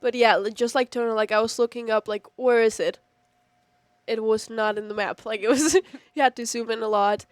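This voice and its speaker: A woman's voice: fairly high pitch, fairly even intonation, moderate pace, no room sound. A teenage young woman from Spain, chatting casually in an interview. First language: Spanish. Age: teens. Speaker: exchange student being interviewed.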